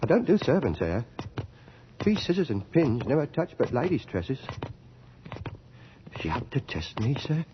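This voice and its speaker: An actor in a radio drama playing a nervous, deferential working-class hairdresser who speaks in folksy, ungrammatical phrases. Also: male voice, mid-range voice, big pitch swings, unhurried, pauses often. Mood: suspicious, anxious. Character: anxious, servile working-class hairdresser, proud of his trade. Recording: dull, noisy 1980s radio drama recording.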